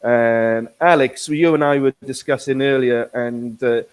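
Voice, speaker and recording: Slow-speaking Welsh-born male teacher. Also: mid-range voice, fairly even intonation, smooth. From Wales. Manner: slow and careful, many fillers, repeats words. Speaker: corporate English teacher, webinar host. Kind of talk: teaching webinar, explaining words.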